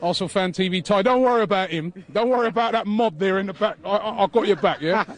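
A man interviewing a football fan for a fan channel, reassuring and trying to keep things calm. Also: high voice, fast, background noise. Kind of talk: street interview.

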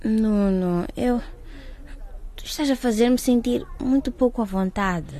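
A woman's voice: a little high, a little slow, mellow. A woman playing a vulnerable, emotional teenage girl in a radio drama. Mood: uneasy.

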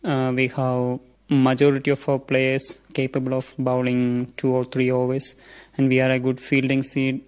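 Young Indian man, seminarian, formal earnest answers, monotone mid voice. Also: medium pitch, normal speed, clean tone. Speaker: seminarian interviewee. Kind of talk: interview answers.

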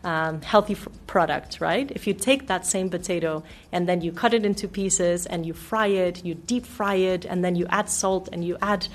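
A woman from Denmark and Mexico with American-sounding English, a nutrition expert explaining things clearly as a radio studio guest. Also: fairly low pitch, steady tone, normal speed, few pauses. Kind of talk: radio interview.

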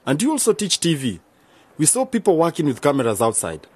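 Husky, animated, keen young man. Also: a little high, a little fast, exaggerated voice. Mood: eager, earnest. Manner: earnest, polite. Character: keen, ambitious young man starting community radio. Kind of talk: radio drama acting.

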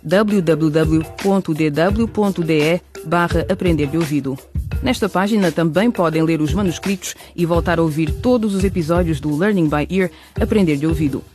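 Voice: medium pitch, normal speed, darkish tone. An announcer reading a scripted programme outro in a presentational manner. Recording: music bed behind voice.

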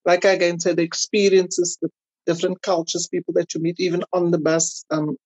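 A South African woman chatting casually as an interview guest, telling personal stories in an animated way. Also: lowish voice, brisk, breathy edge, no echo. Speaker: podcast guest.

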